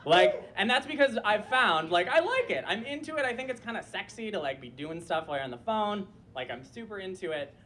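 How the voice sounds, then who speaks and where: A man's voice: high voice, expressive, a little fast, few pauses, bright, forceful tone, slight background noise. Chatty young man telling a story on stage. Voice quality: voice like a disappointed mom, per a friend. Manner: chatty, lots of filler likes, frequent laughter. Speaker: storyteller performer. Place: small club stage before a live audience.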